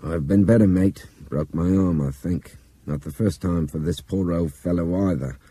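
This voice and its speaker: A man's voice: low-pitched, normal speed, dark tone, husky, no room sound. A man voicing an injured male co-pilot who talks in a dry, wry, pained way.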